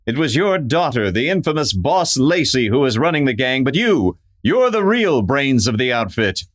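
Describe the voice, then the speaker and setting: A man's voice: mid-range voice, very animated, moderate pace, close to an everyday voice. A man playing an upright, commanding masked western hero, stern and formal, in an old-time radio drama. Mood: stern accusing.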